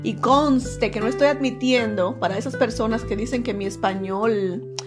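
Woman podcast host, teasing and chatty, slightly high voice. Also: moderate pace, music in the background. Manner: chatty and teasing, calls listeners "señores" and "mi amor". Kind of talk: casual talk to listeners.

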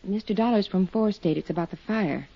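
Meek, drab niece receptionist. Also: female voice, medium pitch, brisk, breathy edge, no echo. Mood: timid. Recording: muffled 1950s radio broadcast.

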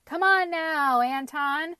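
A woman's voice: medium pitch, steady tone, measured pace, no room sound, lightly acted. A woman voicing a jolly, cheeky male pumpkin from a storybook, with a thick, throaty, airy and teasing delivery. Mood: persuasive.

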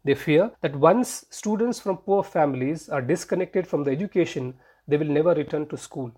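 A man's voice: a little high, normal speed, dark tone. A man with an Indian accent reading scripted news narration as a podcast host and reporter.

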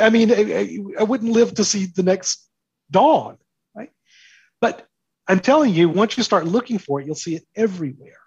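A man with a slightly high, husky voice talks as an interview guest. He explains in an emphatic way, repeating key phrases for stress. The sound is dry and a little muffled. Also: no echo. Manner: emphatic, with deliberate repetition for stress. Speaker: interview guest, author and commentator.

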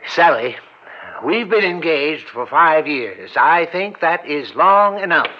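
Flustered, high-voiced timid gentleman. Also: very animated, slow. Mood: earnest. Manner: hesitant, stammering, earnest. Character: timid, flustered, gullible gentleman, dreamy businessman. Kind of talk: old-time radio comedy acting. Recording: muffled, faintly noisy old radio recording.

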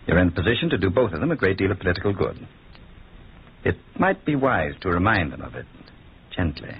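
Calculating schemer, deep register, animated intonation, husky, old-time radio drama villain. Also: male voice, slight background noise. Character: cold, calculating male schemer, smooth villain. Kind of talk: 1940s radio drama acting. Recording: muffled old radio recording.

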